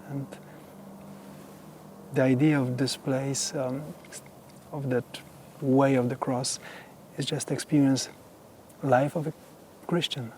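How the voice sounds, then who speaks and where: A man whose first language is Polish, a monk explaining his monastery grounds on a tour in slow, earnest English. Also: medium pitch, a little mellow, a little hiss or hum. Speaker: Carmelite monk giving a tour. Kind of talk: casual guided tour conversation.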